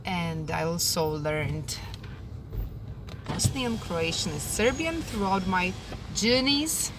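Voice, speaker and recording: A woman in her thirties with a Czech accent, talking casually about her work as a tour guide, unhurried and thoughtful with many pauses and fillers. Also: on the low side, animated. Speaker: tour guide and language teacher. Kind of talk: casual talk to camera. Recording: distant mic, loud traffic noise outdoors.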